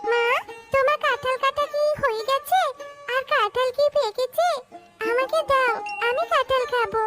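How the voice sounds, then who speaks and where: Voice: extremely high pitch, normal speed, music in the background. An actor voicing a kind-hearted little tiger girl in a children's cartoon, childlike and insistent. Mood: eager.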